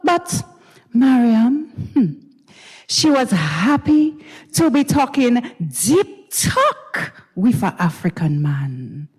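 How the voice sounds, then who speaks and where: A woman with a Grenadian accent giving a dramatized story reading, expressive and gossipy, with musing hums and pauses. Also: a little high, husky. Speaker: dramatic reader. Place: university recital hall stage. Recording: reverberant recital hall.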